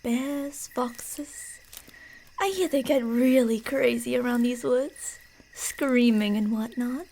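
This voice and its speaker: A woman playing a creepy, delusional stalker posing as a park ranger in an ASMR roleplay, teasing and falsely sweet, slipping between soft speech and whispers. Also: fairly high pitch, slow pace, creaky, heavily acted, rough recording. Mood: teasing, eerie.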